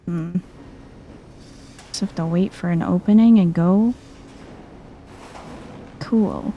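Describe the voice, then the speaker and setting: Female gameplay streamer, ASMR-style: soft, unhurried, mellow delivery, casual and chill, faint noise behind her. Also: fairly low pitch, dull audio. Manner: chill, murmuring to herself. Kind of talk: soft-spoken casual game commentary, ASMR vibe.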